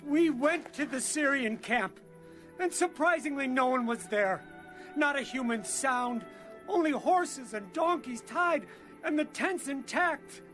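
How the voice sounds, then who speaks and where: A man's voice: extremely high pitch, expressive, a little slow, fairly bright tone, faint music behind, exaggerated voice. A male actor playing an earnest leper outcast in an audio Bible drama. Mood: urgent, amazed. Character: conscience-stricken leper outcast bringing urgent good news.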